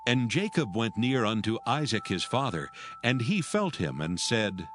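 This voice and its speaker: A man narrating a dramatized scripture reading in a measured, solemn storytelling manner. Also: on the low side, big pitch swings, a bit bright, faint music behind.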